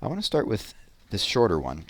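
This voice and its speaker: A man reading children's poems and picture books aloud in an expressive, deliberate way, with conversational asides. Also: fairly low pitch, dry recording.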